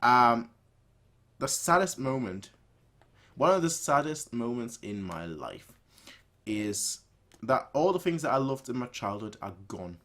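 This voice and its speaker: A man hosting a reaction video, commenting casually and excitedly, often repeating phrases for emphasis. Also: medium pitch, measured pace, pauses often.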